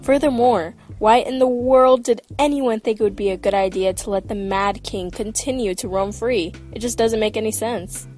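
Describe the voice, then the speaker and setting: A woman's voice: fairly high pitch, moderate pace, hardly any pauses, darkish tone, soft background music, lightly acted. An actress playing a skeptical, questioning, bookish young female student in an audio drama. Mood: skeptical, curious.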